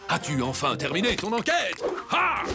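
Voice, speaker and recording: A man's voice: high-pitched, huge pitch swings, moderate pace, gravelly, full character voice. A man voicing a big, strong, eager and boastful toy in a children's cartoon, theatrical in delivery, with music behind.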